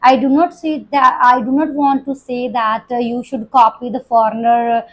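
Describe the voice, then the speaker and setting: A woman's voice: a little high, flat delivery, moderate pace, low-quality recording. A young woman with an Indian English accent with a Punjabi touch, teaching an English-test lesson with many fillers and restarts. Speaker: IELTS coaching teacher. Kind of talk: spoken lesson to students.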